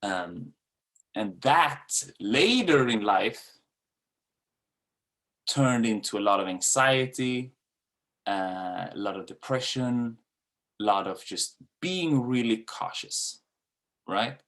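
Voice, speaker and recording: A man's voice: mid-range voice, animated, slow pace, long pauses, a bit bright. A man in his thirties from Sweden with a Swedish accent, a dance coach giving a candid webinar talk with casual fillers and swearing. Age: 30s.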